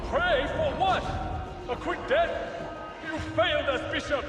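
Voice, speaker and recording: An actor playing a frantic, bitter jester with an agitated, theatrical way of talking in a video game cutscene. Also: male voice, very high voice, unhurried. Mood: accusing, bitter. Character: frantic, bitter jester, nervous townsman of Paris. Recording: echoing hall, music behind.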